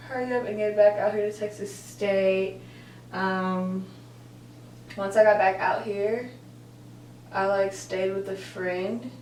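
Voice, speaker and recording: A woman's voice: medium pitch, darkish tone. A woman in her twenties chatting casually while doing her makeup, rambling and correcting herself, with lots of filler words. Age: 20s. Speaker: college student vlogger. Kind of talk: casual get-ready-with-me chat. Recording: roomy echo, distant mic, faint hum.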